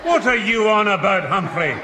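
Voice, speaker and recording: Irritable bossy dad, highish voice, blunt, swoops about, old radio comedy. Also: male voice, expressive, normal speed, crowd noise, background noise. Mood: incredulous. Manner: blunt, impatient. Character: gruff, irritable, bossy father, impatient with fools. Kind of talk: British radio sitcom acting. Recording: echoey hall, old radio recording.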